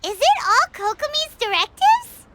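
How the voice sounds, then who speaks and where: A woman's voice: extremely high pitch, very animated, normal speed. A woman voicing a tiny, chatty female fairy companion who calls herself by name, in a video game. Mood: curious. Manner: bubbly, talks about herself in third person. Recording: game soundtrack behind. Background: game music.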